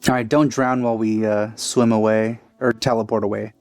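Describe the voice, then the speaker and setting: A man's voice: on the low side, little pitch movement, brisk, a little mellow, clean tone, no echo. A man giving casual, offhand, joking commentary as he plays through a video game. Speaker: let's play gamer. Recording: game music behind commentary. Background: game music.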